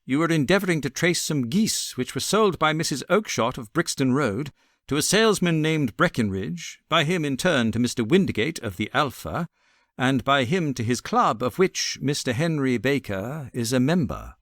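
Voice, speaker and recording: A British man voicing a suave, confident gentleman detective in a storybook reading, with a smooth, assured manner. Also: medium pitch, animated, moderate pace, no room sound, clean studio sound. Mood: bland, assured.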